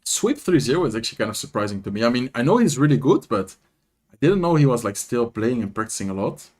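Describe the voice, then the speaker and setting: A man's voice: medium pitch, quick pace. A young man with a Dutch accent, chatting casually on a live stream, reading and answering viewers while commenting on matches. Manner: chatty, reads and answers chat, animated. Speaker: streamer and content creator.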